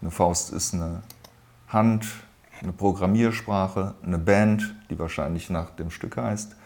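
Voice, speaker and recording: A man's voice: sits low, steady tone, slow pace, darkish tone. A man explaining something informally, step by step, as he looks it up. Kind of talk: informal explanation while browsing.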